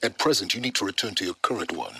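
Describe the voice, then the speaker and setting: An actor playing an aloof, secretive middle-aged scholar of ancient ruins in a video game, speaking in formal, measured and condescending phrases. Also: male voice, sits low, sing-song, sharp tone, husky, heavily acted. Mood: measured, intrigued. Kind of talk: dramatic video game voice acting.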